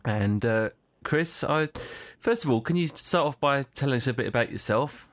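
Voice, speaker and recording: A man hosting a casual radio interview, friendly and quick to laugh along with his guest. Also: mid-range voice, lively intonation, no room sound. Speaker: radio host.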